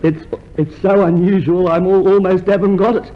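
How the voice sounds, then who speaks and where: A man acts a chirpy hypochondriac from an old radio comedy. His voice sits high, and he is earnestly proud of every ailment. The old recording has a clear layer of noise. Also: fairly even intonation, moderate pace, highs cut off, close to an everyday voice. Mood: proud, confiding. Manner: earnestly boastful about being ill. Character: chirpy hypochondriac male customer who relishes his ailments.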